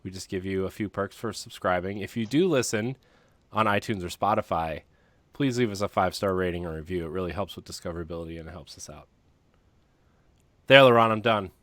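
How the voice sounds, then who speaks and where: Low-pitched man chatting, dry close sound. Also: a little fast, a bit bright, no room sound. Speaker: podcast co-host. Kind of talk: casual podcast chat.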